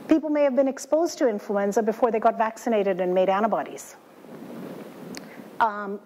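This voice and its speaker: A woman delivers a scientific talk in a measured, explanatory way. Her pitch sits in an everyday middle range. A faint background hiss runs under the recording. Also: darkish tone, low-quality recording. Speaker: infectious disease researcher.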